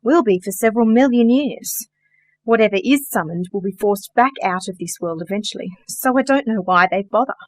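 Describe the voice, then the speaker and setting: A woman's voice: mid-range voice, a little fast, no room sound, close to an everyday voice. A woman playing a genteel, dryly witty sorcerer in a radio play, polite, precise and matter-of-fact. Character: composed, genteel, dryly witty female sorcerer, organizer of magic workers.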